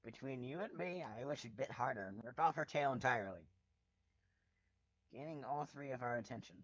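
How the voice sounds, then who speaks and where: A man reading fan fiction aloud as a dramatic first-person narrator, with a wry, expressive delivery. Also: mid-range voice, normal speed, slightly rough, dry recording, muffled recording.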